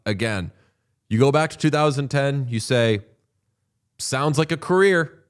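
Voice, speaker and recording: A man's voice: medium pitch, smooth. A man hosting a podcast, chatting casually and animatedly about hockey, swearing freely. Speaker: podcast host. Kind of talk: casual sports chat.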